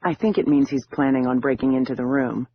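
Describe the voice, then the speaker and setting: A man's voice: medium pitch, steady tone, fairly quick, dull audio, a little hiss or hum, lightly acted. A man voicing a cool, confident woman.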